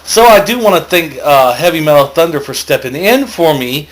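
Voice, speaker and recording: A man's voice: on the high side, lively intonation, moderate pace, low-quality recording. A man hosting a comedic web video in casual talk.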